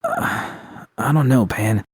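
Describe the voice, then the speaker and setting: A man voicing a troubled woman mercenary in a story, anxious and halting in speech. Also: medium pitch, wildly swooping pitch, slightly rough, no room sound, exaggerated voice. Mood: doubtful. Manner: halting, hesitant.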